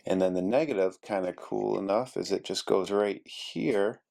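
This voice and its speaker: A man's voice: lowish voice, moderate pace, gravelly, darkish tone. A man casually narrating a hands-on repair, thinking aloud as he works, like a DIY video host. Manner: thinking aloud while working. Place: indoors at a carpeted workspace desk.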